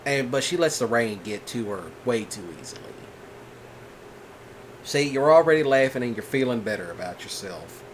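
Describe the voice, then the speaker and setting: A man voicing a calm, patient rancher boyfriend in a soft-spoken ASMR roleplay, folksy and reassuring. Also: medium pitch, normal speed, a little hiss or hum, close to an everyday voice.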